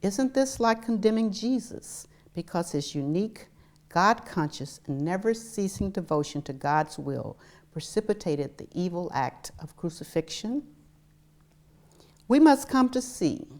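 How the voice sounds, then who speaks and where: A woman with a notably low voice reads a historic letter aloud. Her intonation swings widely, and she delivers each phrase with rhetorical emphasis. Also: darkish tone. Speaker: reader at a commemorative public reading.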